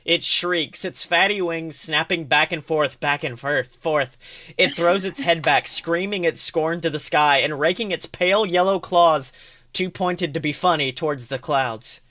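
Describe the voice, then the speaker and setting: A man co-hosting a podcast, reading fan fiction aloud as an expressive narrator. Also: a little high, measured pace. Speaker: podcast co-host.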